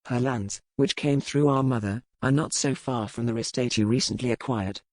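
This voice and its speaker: Male reader as self-assured Regency gentleman, courtly manners, medium pitch, dry sound, for romance audiobook. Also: moderate pace, no echo, lightly acted. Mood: courteous, breezy. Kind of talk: scripted dialogue in a historical romance audiobook.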